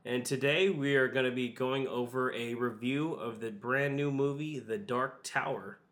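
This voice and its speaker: A man's voice: mid-range voice, moderate pace, fairly bright tone. A young man hosting a casual movie podcast, sounding nasal from a cold and talking in a loose, rambling way with fillers. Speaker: podcast host. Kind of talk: casual chat.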